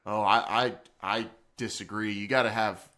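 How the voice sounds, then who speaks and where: A man's voice: on the low side, fairly even intonation, moderate pace, sharp tone. A man hosting a casual wrestling talk podcast, joking and rambling with frequent restarts. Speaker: podcast host.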